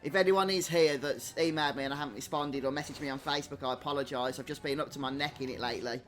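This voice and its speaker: A man's voice: medium pitch, steady tone, quick pace, a bit bright. A man hosting a game stream, chatting casually and conversationally as he replies to viewers. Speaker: gaming streamer and host. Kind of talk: casual live stream chat over gameplay. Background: video game music.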